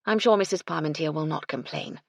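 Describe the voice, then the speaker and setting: Composed lady in charge played by a woman reader, polite authority, slightly low and gravelly, storybook dialogue. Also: a little fast, no room sound, studio quality. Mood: firm, reassuring. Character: composed, kindly but firm English lady in charge.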